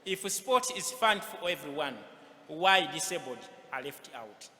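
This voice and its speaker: A man's voice: a little high, lively intonation, measured pace, bright, forceful tone. A man giving an earnest acceptance speech as an award winner. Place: large event hall, on stage. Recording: echoey hall, noticeable background noise.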